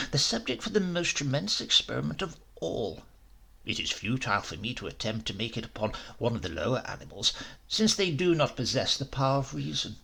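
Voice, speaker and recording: A man performs a grim, obsessed male professor from a horror story. He speaks with grandiose intensity, his husky voice swooping widely and breaking into gravel. Every phrase is deliberate. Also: medium pitch, pushed and bright, heavily acted. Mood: fervent. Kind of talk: dramatised horror audiobook.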